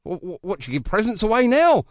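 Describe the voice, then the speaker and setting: A man's voice: high voice, big pitch swings, fast, darkish tone, dry recording. Jokey British man hosting, voice swinging widely. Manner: jokey, teasing, repeats phrases. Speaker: radio show host. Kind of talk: chatty interview banter.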